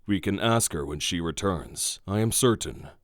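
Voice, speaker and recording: A man's voice: low voice, animated, normal speed, dry recording, studio quality. A man playing a haughty, irritable god in a fantasy audio drama, with a dramatic, disdainful delivery.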